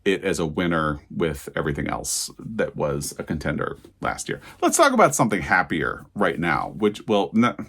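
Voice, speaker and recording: A man's voice: deep voice, huge pitch swings, normal speed, gravelly, hardly any pauses, a bit bright, husky. A man talking casually and candidly to viewers as a book reviewer, stressing his opinions as he goes. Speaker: book reviewer and video host.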